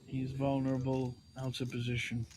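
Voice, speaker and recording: A man's voice: mid-range voice, monotone, normal speed, a little mellow. A man giving chatty, conversational live commentary on a poker livestream.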